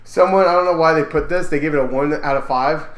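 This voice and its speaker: A man's voice: a little high, quick pace. A young man co-hosting a casual podcast, loose and profane, laughing often. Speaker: podcast co-host. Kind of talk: casual chat. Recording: echoey room.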